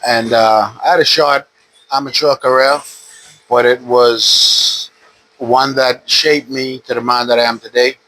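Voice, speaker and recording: A man in his fifties from the Bahamas, a retired boxer calmly telling his story in an interview at a boxing gym. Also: mid-range voice, unhurried, a little hiss or hum, rough recording. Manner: relaxed, steady storytelling with frequent "uh". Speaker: retired pro boxer, guest. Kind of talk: casual interview.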